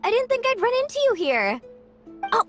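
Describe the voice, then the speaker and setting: A woman's voice: very high-pitched, very animated, moderate pace, music in the background. A woman playing a sweet, friendly, eager young girl in an anime video game, speaking in an exclamatory way. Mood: pleasantly surprised.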